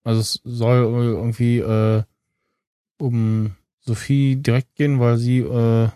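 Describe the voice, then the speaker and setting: A man co-hosting a podcast, talking casually and haltingly as he thinks aloud. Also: mid-range voice, level tone, mellow, smooth, no echo, clean studio sound. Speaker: podcast co-host. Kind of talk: casual, unscripted podcast chat about tech and streaming.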